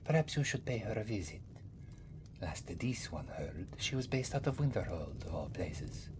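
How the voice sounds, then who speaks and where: A man voicing a very old, wry, courteous cat-folk former priest in a fantasy roleplay ASMR, softly, often slipping into a whisper, musing about himself in the third person. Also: on the low side, sing-song, normal speed, background noise. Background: music.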